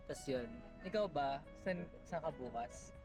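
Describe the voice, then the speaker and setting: A man's voice: a little high, expressive, a little slow. A young man in his twenties with a Filipino accent, joking around in casual chat with friends at a cafe. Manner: playful, joking banter mixing Tagalog and English. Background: background music.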